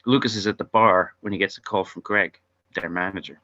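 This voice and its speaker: A man's voice: deep voice, steady tone, brisk, sharp tone. A man narrating scene headings and stage directions in a scripted table read. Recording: dull lo-fi audio.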